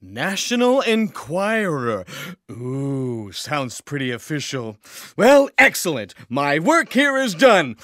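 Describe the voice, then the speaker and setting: A man's voice: a little high, very animated, slow, fairly bright tone, no echo, studio quality, full character voice. A man playing a gleeful, scatterbrained little male alien dance champion in a sci-fi audio comedy, easily distracted and prone to giggles. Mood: cheerful boasting.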